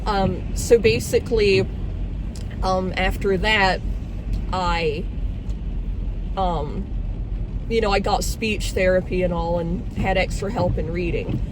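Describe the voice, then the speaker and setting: A woman's voice: lowish voice, steady tone, slow, pauses often. A young woman from Scotland telling her own story in a casual vlog, hesitant, with many "um"s and restarts, speaking into a phone while in a car in traffic. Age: young adult. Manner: hesitant, frequent "um" and "basically", self-correcting. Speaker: vlogger. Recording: loud road and traffic noise in a car. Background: vehicle and traffic noise.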